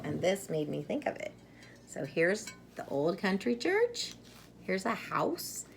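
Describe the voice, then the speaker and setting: Female storyteller, slow and low, expressive children's read-aloud with dramatic pauses, faint background noise. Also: gravelly. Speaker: storyteller reading a children's picture book.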